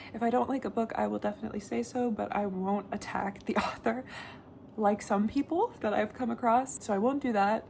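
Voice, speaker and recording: A talkative young woman vlogging about books, her voice sitting at an ordinary middle pitch with a gravelly fry at the ends of phrases. She chats casually and endlessly to the camera, with a faint hum of noise behind her. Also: darkish tone. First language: Danish. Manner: chatty, talks on and on, laughs often. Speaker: book vlogger.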